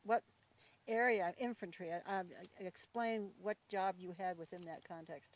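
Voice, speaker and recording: A woman's voice: mid-range voice, moderate pace. A woman hosting a casual, chatty interview in an informal, folksy and encouraging manner. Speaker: host introducing a new ranch worker.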